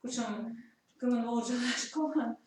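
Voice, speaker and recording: A woman's voice: on the high side, a little slow. A woman teacher, explaining at length in a work meeting. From Komi Republic. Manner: explains at length, thinking aloud. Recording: echoey room from far off, faint noise.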